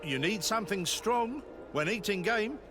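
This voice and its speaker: A genteel male merchant from a fantasy game, with a high, lively voice. He is courteous, salesmanlike and evasive, over clearly audible music. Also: normal speed. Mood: evasive, uneasy. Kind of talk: video game dialogue. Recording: music behind the voice.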